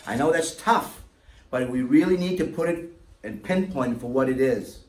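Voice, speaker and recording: Guy giving a fired-up talk to a crowd, voice leaps up on big words, mic way off in a boomy hall. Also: moderate pace. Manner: impassioned, emphatic, with sweeping rises. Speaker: guest speaker. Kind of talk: public talk with audience Q&A. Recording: echoey hall, mic far off, faint noise.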